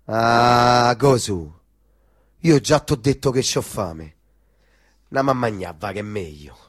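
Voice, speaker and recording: A man's voice: mid-range voice, slow, husky. Coarse, mocking Roman tough guy. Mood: blunt, teasing. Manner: coarse, blunt, teasing. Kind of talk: stage dialogue in dialect.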